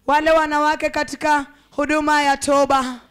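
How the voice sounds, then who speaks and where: A man's voice: extremely high pitch, monotone, normal speed, fairly bright tone. A man interpreting a sermon live in a church hall, emphatically echoing each phrase of the preacher.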